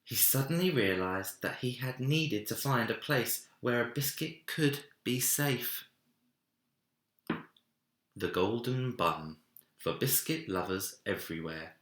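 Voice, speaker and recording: A man reading a children's story aloud in an expressive narrating style, recorded in an echoey room. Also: medium pitch, slow pace. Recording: roomy, echoey indoor sound.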